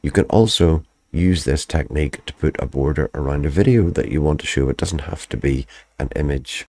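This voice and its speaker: A man with an extremely low, deep voice talks through a software editing tutorial one step at a time. He is calm and methodical, with a slight croak left over from the flu. The sound is dry and close, with no room echo. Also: fairly quick. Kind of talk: screen-recorded software tutorial.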